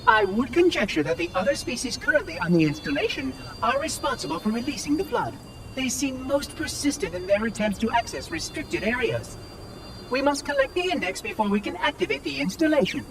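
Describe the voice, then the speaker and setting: A man's voice: very high voice, sing-song, a little fast, background noise, full character voice. A man voicing a cheerful, officious robot monitor in a game cutscene, formal and pedantic, with precise diction and synthetic processing. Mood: pedantic, chirpy. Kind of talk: scripted game cutscene dialogue. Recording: music behind the voice.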